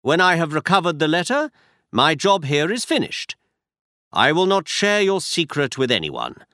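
A man's voice: a little high, very animated, normal speed, pushed and bright, no room sound, studio quality, voice pushed up. A man voicing a cool, insistent male detective in a storybook reading, firm and pressing. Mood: reassuring.

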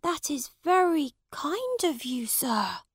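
A woman's voice: sits high, very animated, takes it slowly, no echo, studio quality, pitched above the performer's own voice, exaggerated voice. A woman voicing a poor young storybook boy who is polite, honest and earnest. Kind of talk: storybook character voice.

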